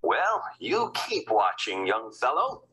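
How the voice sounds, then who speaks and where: Performer as a forceful, stern male President. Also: mid-range voice, wildly swooping pitch, takes it slowly, voice pushed down, full character voice. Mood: stern, formal. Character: stern, self-important adult male President. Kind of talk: storybook character reading.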